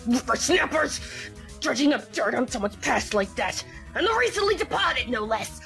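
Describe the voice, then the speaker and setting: A woman voicing a cranky, indignant old woman security guard with a raspy, scolding delivery in an amateur game fan dub. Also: sits high, lively intonation, normal speed, sharp tone, soft background music, heavily acted.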